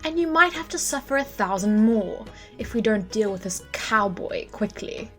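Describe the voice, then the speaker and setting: A woman's voice: fairly high pitch, animated, normal speed, a bit bright, soft background music. Cool, blunt afterlife tavern keeper with a dry edge, voiced by a woman.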